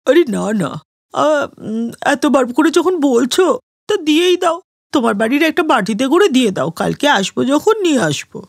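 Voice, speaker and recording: A woman's voice: high voice, big pitch swings, normal speed, dry recording, clean studio sound. A woman voicing a pushy older female neighbour in a cartoon story, wheedling and gushing as she begs for food. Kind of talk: animated moral story cartoon dialogue.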